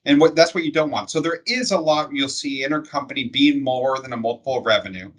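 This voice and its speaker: A man's voice: mid-range voice, fast talker, fairly bright tone. A man speaking as an industry expert, explaining things conversationally in plain, step-by-step terms.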